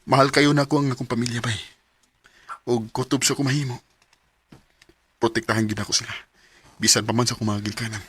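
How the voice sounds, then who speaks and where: An actor playing a devoted young son and expectant father, earnest and heartfelt, in a radio drama with a Cebuano accent and a husky voice. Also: medium pitch, flat delivery, a little fast, long pauses, fairly bright tone, slight background noise, lightly acted. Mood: contented, resolute.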